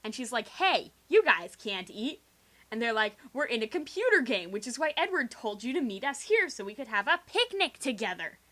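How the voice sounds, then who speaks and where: Young woman doing a dramatic comedy reading, bright highish voice, sarcastic and slangy, quiet diy game mic. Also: sing-song, moderate pace. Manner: theatrical, sarcastic, slangy, acts out quoted dialogue. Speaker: storyteller. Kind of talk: dramatic reading of a comic dream account. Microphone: video game microphone with improvised pop filter. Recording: quiet homemade rig with game microphone.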